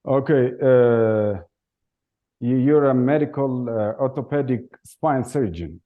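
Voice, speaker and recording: A man's voice: mid-range voice, takes it slowly. A man hosting a television interview program, opening with a formal greeting and putting questions to his guest. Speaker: TV talk show host. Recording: dull lo-fi broadcast sound.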